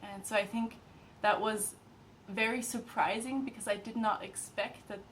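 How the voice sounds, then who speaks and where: A young woman in her twenties, an intern, talking in a relaxed, chatty testimonial full of fillers. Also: mid-range voice, moderate pace, a little hiss or hum. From Austria and Germany, grew up in China and Thailand. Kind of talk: casual testimonial.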